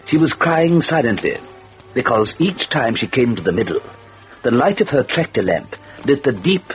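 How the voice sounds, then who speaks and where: An actor playing a sardonic, gloating Devil who narrates a radio horror tale in a wry, theatrical, knowing manner. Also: male voice, mid-range voice, natural voice. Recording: dull old radio recording with music.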